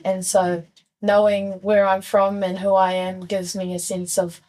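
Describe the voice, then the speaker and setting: A woman's voice: mid-range voice, flat delivery, a little slow, rough recording. A young woman from New Zealand answering interview questions in an earnest, thoughtful way. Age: young adult. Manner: earnest, thoughtful, soft-spoken. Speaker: youth guest.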